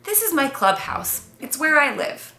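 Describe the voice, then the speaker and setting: Woman voicing a shy, awkward boy kitten, bright tone. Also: high voice, very animated, a little slow, roomy sound, soft background music, voice pushed up, exaggerated voice. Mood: guarded. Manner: hesitant, guarded.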